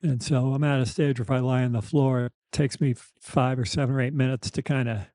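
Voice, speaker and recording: Mature male podcast host, mellow soft voice, slightly weak and lisping, halting candid delivery, dry close sound. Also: medium pitch, steady tone, no room sound. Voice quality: slight lisp, thick-tongued articulation. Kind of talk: reflective personal conversation.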